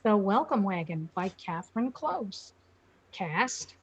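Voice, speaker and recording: A woman's voice: mid-range voice, sing-song, slow, loud music behind the voice. An older woman reading scripted narration and announcements for a radio play, on dull lo-fi audio.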